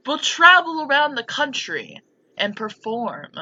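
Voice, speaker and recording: A woman's voice: sits high, sing-song, a little slow, bright, forceful tone, slightly rough, lo-fi sound, voice pushed up, full character voice. A woman voicing a giddy, sarcastic young noblewoman from a visual novel, exuberant and dramatic. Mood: gleeful scheming.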